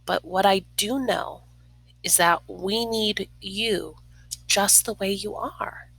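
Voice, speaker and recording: A woman plays a gentle storybook sister spirit, bright and lifted in tone. The delivery is slow, earnest and affectionate, with a dry sound. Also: mid-range voice, vocal fry, husky, no echo, full character voice, low-quality recording. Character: Day and Night, gentle sister spirits reconciling.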